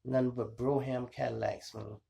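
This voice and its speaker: A man with a Chicago accent and a gravelly voice, telling street stories casually to his viewers in slangy, profane talk. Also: medium pitch, normal speed. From Chicago, United States. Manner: animated retelling, slangy, profane, acts out dialogue and sound effects. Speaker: storytelling podcast host.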